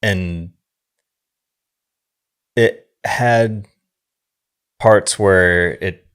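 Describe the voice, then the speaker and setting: A man co-hosting a podcast, talking about films casually in a thoughtful, halting way. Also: low-pitched, fairly bright tone, clean tone. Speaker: podcast co-host. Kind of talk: casual film discussion.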